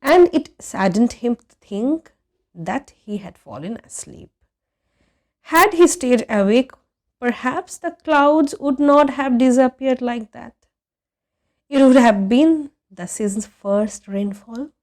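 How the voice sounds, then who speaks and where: A woman reading a literary story aloud in an expressive, measured narration with dramatic pauses. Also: on the high side. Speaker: audiobook reader.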